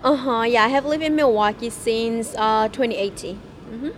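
A woman's voice: fairly high pitch, fairly even intonation, normal speed, crowd noise. A woman in her twenties from Thailand, owner of a grocery store, answering interview questions hesitantly with many fillers, recorded inside her shop. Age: 20s. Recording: on-location with background noise.

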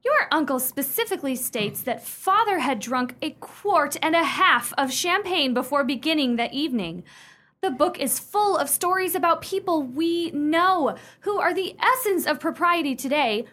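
A woman's voice: high voice, expressive, moderate pace, a bit bright. A woman playing a prim, imperious young upper-class fiancée in a radio play, speaking sternly with precise, formal diction. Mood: scandalized.

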